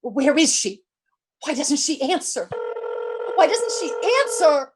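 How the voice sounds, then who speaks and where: An actress plays a high-voiced, bedridden lady in a radio thriller, nervous and imperious. Her delivery swings widely, fretful and stammering with indignation, over audible background noise. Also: very animated, takes it slowly, heavily acted. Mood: frightened, frantic. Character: nervous, demanding bedridden woman, frantic and imperious. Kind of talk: old-time radio suspense acting.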